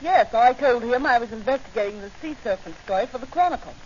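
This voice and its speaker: Plucky, earnest young newswoman played by an actress, high voice, dull old radio sound. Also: expressive, quick pace, natural voice. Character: earnest, plucky young female newspaper reporter. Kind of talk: 1940s radio acting. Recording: noisy, dull 1940s radio recording.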